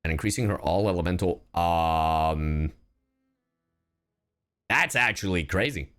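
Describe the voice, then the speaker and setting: A man live streaming a game, chatting casually in a slangy, sweary, expressive and excitable way. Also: sits low, unhurried, faint music behind. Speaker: livestreamer.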